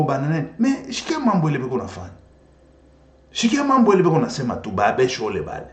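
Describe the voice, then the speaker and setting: A man from Congo, a Swahili native speaker with a Congolese French accent, giving an impassioned political monologue as an animated storyteller who repeats himself and switches languages. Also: on the high side. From Democratic Republic of the Congo. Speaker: political commentator. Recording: echoey room.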